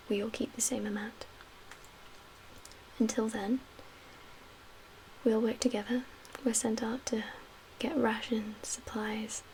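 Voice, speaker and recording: A soft, breathy female survivor leader played by a woman in an ASMR zombie-apocalypse roleplay. Her voice sits fairly high and stays hushed, measured and guarded, gently reassuring, with audible noise behind it. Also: rough recording. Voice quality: soft, breathy, half-whispered.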